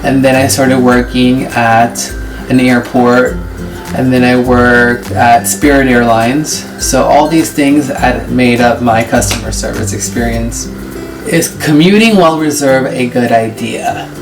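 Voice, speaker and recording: A man's voice: mid-range voice, fairly even intonation, a little slow. Man in his twenties answering viewer questions. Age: 20s. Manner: chatty, explains step by step. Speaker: vlogger and flight attendant. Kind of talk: casual Q&A vlog. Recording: distant mic with loud music behind. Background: music.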